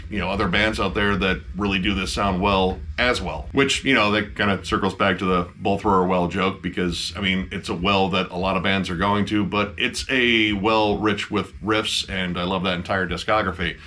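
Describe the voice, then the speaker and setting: A man's voice: on the low side, sharp tone, slight background noise. A man co-hosting a casual album review chat, emphatic and swearing freely.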